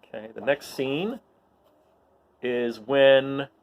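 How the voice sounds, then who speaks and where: A man casually introducing readings and setting scenes in a halting, conversational way. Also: medium pitch. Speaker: host, storyteller.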